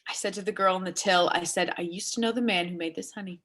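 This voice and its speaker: A woman acting a blunt, nervously polite character in a naturalistic stage reading, with a halting, dry, tactless way of talking. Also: fairly low pitch, steady tone, fairly bright tone. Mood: polite, brittle. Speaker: amateur or local theatre actor.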